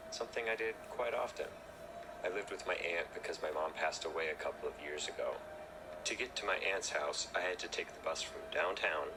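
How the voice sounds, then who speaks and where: A man reading a scripted first-person horror story as narrator. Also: low voice. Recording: noisy recording.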